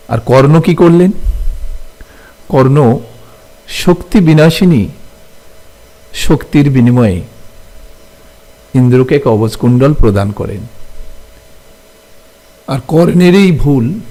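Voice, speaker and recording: A man teaching literature to students, speaking in deliberate short phrases and often posing questions as he explains. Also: mid-range voice, dark tone, low-quality recording.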